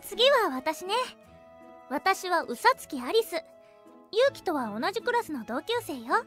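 A woman playing an assertive teenage schoolgirl in an anime audio drama, brisk and matter-of-fact. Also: very high-pitched, big pitch swings, fairly bright tone, soft background music, full character voice. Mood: composed.

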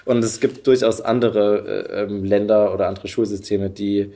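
A man's voice: on the low side, fairly even intonation, moderate pace, mellow. A man hosting a podcast in casual conversation, speaking colloquially and in loose, rambling sentences. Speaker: podcast host.

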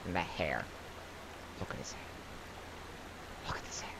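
A man's voice: low-pitched, animated, measured pace, gravelly, background noise. A young man giving casual, joking commentary while playing a video game. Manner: joking, rambling, wry asides. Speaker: let's play commentator.

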